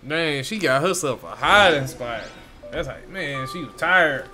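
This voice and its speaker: A man's voice: on the high side, lively intonation, unhurried. A man giving casual, slangy game commentary in laid-back muttered asides. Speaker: gaming streamer reacting to a story quest. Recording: game music under the voice. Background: game music.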